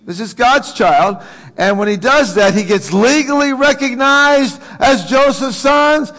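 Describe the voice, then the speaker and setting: A man's voice: extremely high pitch, a little slow, a bit bright. An American man preaching a conversational sermon as a pastor, with a folksy, joking manner and questions put to his congregation. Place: large room, preaching to a congregation. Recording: reverberant hall.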